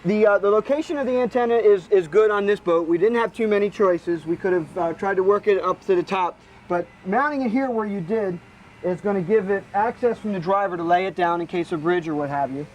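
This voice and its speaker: A man's voice: sits high, fairly quick, a little mellow, slight background noise, rough recording. A man giving casual, practical technical explanations outdoors as a hands-on expert. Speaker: boat rigger, expert guest. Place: outdoors on a boat.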